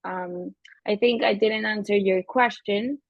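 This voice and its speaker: A woman's voice: mid-range voice, steady tone, no room sound. Venezuelan woman speaking thoughtfully, dry sound. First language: Spanish. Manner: thoughtful, halting, searching for words. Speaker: guest therapist. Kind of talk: casual interview. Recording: slightly dull lo-fi audio.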